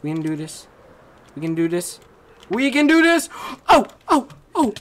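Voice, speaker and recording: A teenage boy commentating his own game play at home, reading signs aloud and yelping loudly at his wins and deaths, his voice going hoarse. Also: high voice, very animated, a little slow. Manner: shouts and squeals when failing or winning, reads signs aloud. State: losing his voice. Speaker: gaming video creator. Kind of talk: excited gameplay commentary. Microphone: desk mic with webcam.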